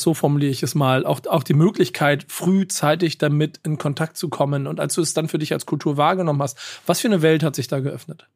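A man's voice: fairly high pitch, creaky, dry recording, studio quality. A man hosting an interview format, asking questions and often searching for words. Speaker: interviewer, podcast host.

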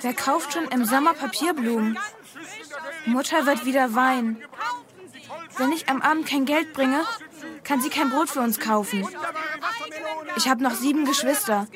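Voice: sits high, normal speed, pauses often, close to an everyday voice. A performer playing a poor, sad young flower girl in a children's audio drama, speaking plaintively. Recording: crowd sounds behind the voice.

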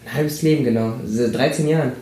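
A man in his twenties from Colombia, a dance coach speaking as a guest in a casual interview, relaxed and hesitant, often starting with "äh". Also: mid-range voice, fairly quick. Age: 20s. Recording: roomy echo.

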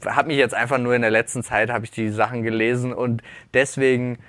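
A man's voice: mid-range voice, little pitch movement, fairly quick, a bit bright, no room sound. A man in his thirties, a podcast host, talking in a rambling, reflective way and often correcting himself mid-sentence. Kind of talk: casual chat.

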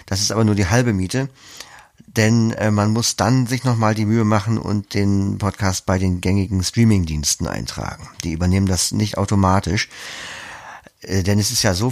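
A man with a fairly low, creaky voice gives conversational interview answers as a podcast producer. He explains step by step with practical examples, recorded close and dry. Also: dry recording.

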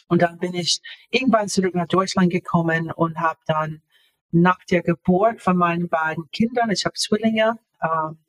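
A woman in her fifties, an author talking casually as an interview guest, halting and searching for words with many fillers. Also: on the low side, low-quality recording.

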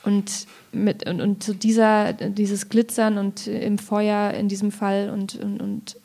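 A woman's voice: medium pitch, level tone, takes it slowly, mellow. A woman speaking as an author at a literary event, reflecting aloud in conversation about her writing. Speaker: author, guest at a reading event. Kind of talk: conversational literary discussion with passages read aloud.

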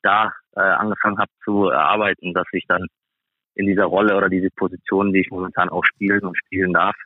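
A man giving casual, halting interview answers as a professional athlete guest. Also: low-pitched, little pitch movement, dry recording. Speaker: guest, professional ice hockey player. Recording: thin phone-like band-limited sound.

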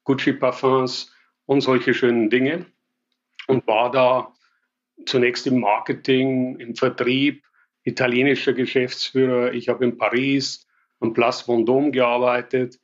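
A middle-aged man from Bavaria with a Bavarian accent, relaxed and conversational as an interview guest, with occasional hesitations. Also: mid-range voice, muffled recording. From Bavaria, Germany. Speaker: interview guest, business executive. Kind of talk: casual interview answers.

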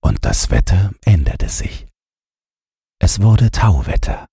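A man reading a bedtime storybook as an audiobook narrator, with a gravelly, husky voice and measured, drawn-out phrasing. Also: sits low, very animated, dark tone, no room sound, clean studio sound. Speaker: audiobook reader.

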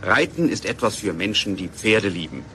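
A man playing a kindly, assured horseman with deliberate delivery in a children's radio play. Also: fairly low pitch, lively intonation, pushed and bright. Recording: noisy old radio play recording.

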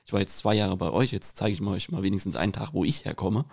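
Lower-voiced Hessian man telling anecdotes. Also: fast, vocal fry, darkish tone. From Rüsselsheim, Germany. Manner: hesitant, repeats words when starting. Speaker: podcast co-host.

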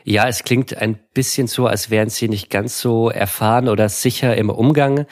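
A man's voice: medium pitch, fairly even intonation, moderate pace, a little mellow. A man co-hosting a podcast, asking questions and adding unsure asides in casual talk. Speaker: podcast co-host, asks the questions.

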